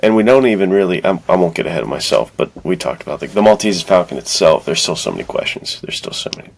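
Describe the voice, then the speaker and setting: A man's voice: deep voice, lively intonation, fast, creaky. A man co-hosting a podcast in casual chat, reacting with slangy emphasis and putting questions to his partner. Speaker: podcast co-host.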